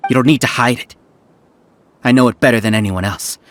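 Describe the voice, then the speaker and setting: A man's voice: medium pitch, brisk, lightly acted. A man voicing a bitter, resentful male scholar with a defensive way of talking. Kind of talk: game voice acting.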